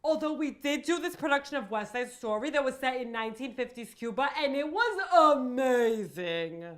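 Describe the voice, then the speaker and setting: A woman's voice: high-pitched, lively intonation, normal speed. A woman playing a melodramatic survivor of amateur theater in a mock confession, theatrical, haunted and overwrought. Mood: conceding. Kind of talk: mock-confessional comedy monologue.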